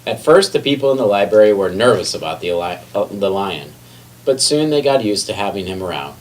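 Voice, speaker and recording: A man's voice: fairly low pitch, lively intonation, fairly quick. A man reading a children's picture book aloud expressively, sometimes stumbling and correcting himself. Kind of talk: children's read-aloud storytelling. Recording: distant mic with faint background noise.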